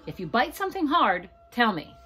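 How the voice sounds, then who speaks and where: A woman's voice: a little high, big pitch swings, brisk, pushed and bright, voice pushed up. A woman voicing an anxious, dramatic young girl in a storybook, crying out urgently. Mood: bossy, urgent.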